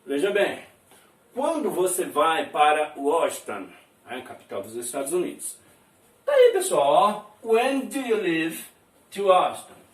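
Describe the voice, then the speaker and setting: Lively, high-voiced male teacher in an echoey room. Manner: repeats phrases, checks in with "né?" and "veja bem". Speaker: English teacher. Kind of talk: classroom lesson explaining words. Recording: echoey room, mic at a distance.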